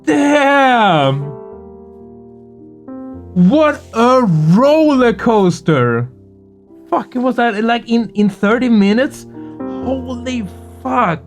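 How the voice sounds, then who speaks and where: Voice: medium pitch, expressive, extremely slow, pauses often, faint music behind. A gaming video host chatting casually and effusively, earnest and prone to swearing. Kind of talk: casual excited chat after a game playthrough.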